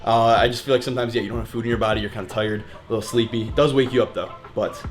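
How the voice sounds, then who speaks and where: Fast-talking young American man, casual vlogger. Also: medium pitch, fairly even intonation, over loud music. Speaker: fitness vlogger answering viewer questions. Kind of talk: casual chat, Q&A vlog.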